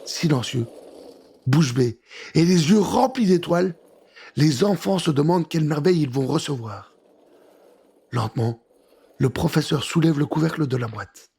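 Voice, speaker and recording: A man narrating a scripted children's adventure story with an expressive, dramatic delivery. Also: fairly high pitch, moderate pace, vocal fry, long pauses, breathy edge. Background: music.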